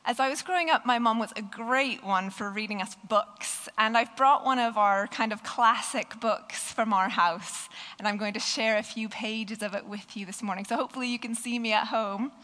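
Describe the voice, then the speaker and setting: A woman with a croaky, hoarse voice giving a conversational sermon and reading a children's story aloud. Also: a little high, normal speed, sharp tone, in a large hall. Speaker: preacher.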